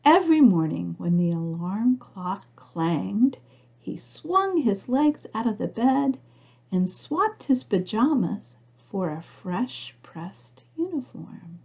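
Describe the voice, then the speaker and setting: A woman reads a picture book aloud to young children, pausing to explain things simply and ask the listener questions. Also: medium pitch, sing-song, vocal fry, a little mellow, breathy edge, dull audio. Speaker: storybook reader for young children.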